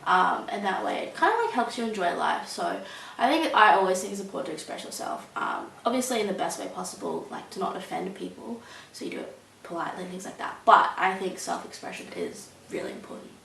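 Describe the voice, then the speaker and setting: A woman's voice: mid-range voice, lively intonation, brisk, creaky, fairly bright tone. A young woman, answering interview questions casually and hesitantly, with many ums and likes. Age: young adult. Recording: roomy, mic at a distance, faint hiss.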